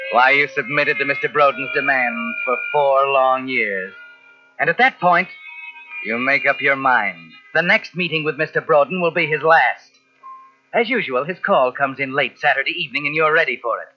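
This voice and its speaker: An actor playing a sinister, knowing narrator in a 1940s radio mystery, taunting a guilty man in a deliberate, insinuating way. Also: mid-range voice, close to an everyday voice. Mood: ominous. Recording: muffled old radio tape with music behind.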